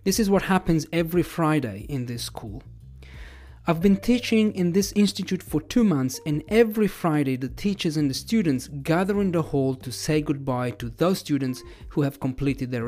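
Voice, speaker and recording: A man in his thirties, a native Italian speaker, hosting a podcast and telling a personal story in a relaxed, measured way. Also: fairly high pitch. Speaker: podcast host and English teacher.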